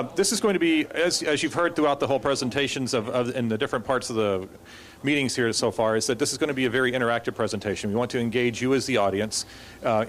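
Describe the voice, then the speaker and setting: A man moderating a conference panel, rushing through with many fillers and restarts. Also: medium pitch, a little hiss or hum. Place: conference hall.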